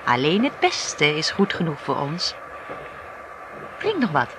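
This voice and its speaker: Smooth scheming lady in an old radio play, lively pitch, noisy recording. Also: a little slow, full character voice. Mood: breezy, assured. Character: confident, scheming woman, smooth and coolly friendly. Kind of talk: radio drama acting.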